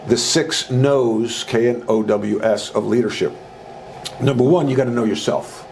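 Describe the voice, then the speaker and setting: Man in his sixties, podcast guest, loud, emphatic storytelling, medium-pitched voice. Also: measured pace, gravelly, slight background noise. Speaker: podcast guest, leadership author and former basketball coach. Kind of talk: conversational storytelling interview.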